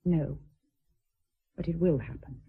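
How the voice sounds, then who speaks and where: An actress playing a dignified, steadfast deserted wife of rank in a period radio drama, speaking in a measured, formal, resolute way. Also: female voice, low voice, vocal fry, breathy edge, narrow, tinny audio, exaggerated voice. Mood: quietly resolute.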